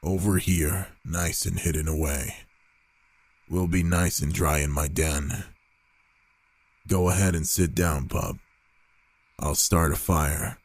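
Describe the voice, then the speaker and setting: A man plays a reclusive male werewolf for an ASMR roleplay. His voice is extremely deep and he takes his time, pausing often between phrases. He comes across as gruff yet reluctantly caring and protective. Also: steady tone, no echo, exaggerated voice. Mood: reluctantly helpful. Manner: slow, halting, murmured delivery with pauses.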